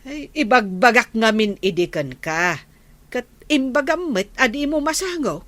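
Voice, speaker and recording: Woman playing an animated, chiding village woman. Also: fairly high pitch, measured pace. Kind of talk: Ilocano radio comedy drama acting.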